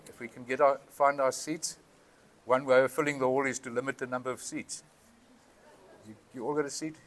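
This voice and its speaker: A South African man chairing a town meeting, speaking earnestly and haltingly with many ums and switching between Afrikaans and English, into a PA microphone in a hall. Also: mid-range voice, little pitch movement. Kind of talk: public address at a town meeting. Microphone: PA microphone with feedback.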